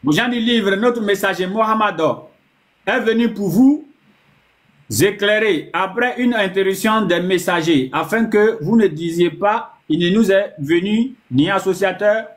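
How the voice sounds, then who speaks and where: A man taking part in a debate, reading scripture passages aloud in a steady way. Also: high-pitched, measured pace.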